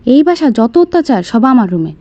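A woman's voice: on the high side, sing-song, quick pace, dark tone, no room sound, lo-fi sound. A woman voicing a sulky, put-upon cartoon youngster with a Bangladeshi Bengali accent, whining indignantly in colloquial speech. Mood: sulky grumbling. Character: sulky, put-upon grown child of a nagging mother.

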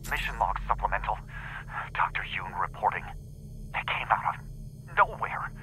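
Expedition scientist, low voice, very bright edge, formal mission-log delivery, sci-fi game. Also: male voice, expressive, moderate pace, rough recording. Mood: clinical, formal. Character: shaken male scientist leading a doomed expedition. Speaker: research team leader. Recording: faint noise, recorded audio log.

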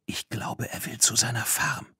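A man's voice: mid-range voice, steady tone, moderate pace, sharp tone, slightly rough, no echo, studio quality, exaggerated voice. A man voicing a practical, spirited teenage girl in a children's audiobook. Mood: musing.

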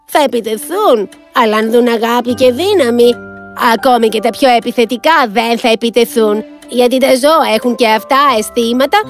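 Woman playing a high-voiced, cunning old village woman. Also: natural voice. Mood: reassuring, confident. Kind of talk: children's fairy-tale cartoon dub. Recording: music behind the voice.

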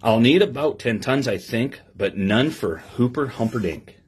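A middle-aged man reading aloud to children in an expressive sing-song way, with simple asides to his listeners. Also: medium pitch, unhurried. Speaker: police lieutenant reading to children. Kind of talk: read-aloud story time with asides to young listeners. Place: small office, indoors. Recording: clear background noise with clicks.

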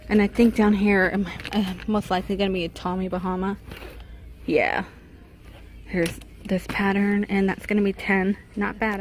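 A woman's voice: medium pitch, a little mellow, slight background noise. Chatty woman vlogging a store walk-through. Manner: chatty, reads out prices, small laughs. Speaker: shopping vlogger. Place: indoors in a home goods store.

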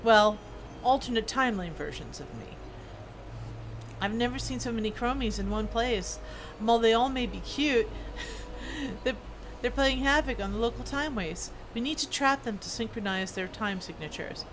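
A woman game streamer casually commenting on her play and reading quest text aloud, musing and halting as if to herself. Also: on the high side, lively intonation. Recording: clear game ambience and noise. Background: video game ambience.

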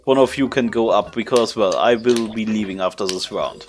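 A man hosting a casual multiplayer gaming session, talking in relaxed, halting phrases. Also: lowish voice, a little hiss or hum. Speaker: let's play host.

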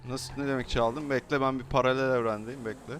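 A man chatting casually and joking while roleplaying in an online game, with animated banter. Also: medium pitch, quick pace. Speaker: gaming video streamer.

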